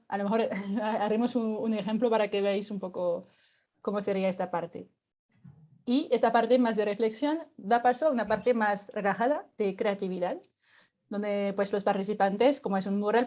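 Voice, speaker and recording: French-accented woman, mellow and patient. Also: mid-range voice, highs cut off. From France. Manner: patient explainer, many "bueno" and "o sea" fillers, light laughs. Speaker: guest explaining a climate workshop. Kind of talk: explanatory radio interview.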